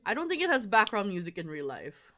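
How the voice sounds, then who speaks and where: A livestreamer chatting casually while playing a game, rambling and excitable, prone to giggling. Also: medium pitch, a little fast, slight background noise. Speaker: livestreamer playing a visual novel. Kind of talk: casual live stream commentary with read-aloud game dialogue. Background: game music.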